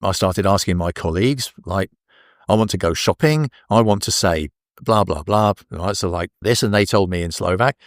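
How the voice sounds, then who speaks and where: An older man with an English accent, talking as an interview guest in a relaxed, halting way full of restarts. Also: low voice, dry recording, clean studio sound. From England. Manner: hesitant, many restarts and fillers. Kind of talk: casual interview.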